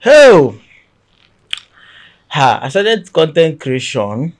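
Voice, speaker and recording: A man from Nigeria with a Nigerian accent, a guest in a podcast interview who talks in a chatty, relaxed storytelling way. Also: a little high, drawn-out words. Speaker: guest content creator. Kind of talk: casual conversational interview. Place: podcast studio.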